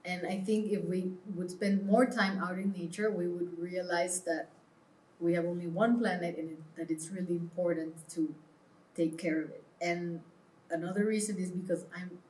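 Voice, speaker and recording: A woman from Peru answers as a guest in an interview. Her voice sits a little lower than most women's, and she explains things earnestly in a conversational style. The recording is roomy, with clear echo around her words. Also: fairly even intonation, normal speed, darkish tone, a little hiss or hum. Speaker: interview guest, clothing brand founder. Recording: roomy, echoing sound.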